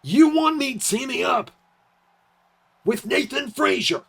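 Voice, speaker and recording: A man's voice: extremely high pitch, lively intonation, slow pace, creaky, breathy edge, full character voice. A man playing an indignant, hot-tempered wrestler in a video game story, scornful and emphatic. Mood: indignant, furious.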